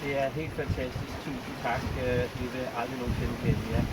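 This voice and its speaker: A man with a Danish accent, a rescued sailor speaking haltingly over a boat radio, repeating words with many pauses. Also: mid-range voice, little pitch movement. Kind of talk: radio call. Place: on a sailboat at sea. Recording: windy distant radio audio. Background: wind and sea noise.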